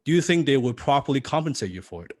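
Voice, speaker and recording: A man's voice: medium pitch, big pitch swings, quick pace, darkish tone, dry recording, studio quality. A man teaching copywriting in a live seminar, non-native in English, firing rhetorical questions at the audience. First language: not English.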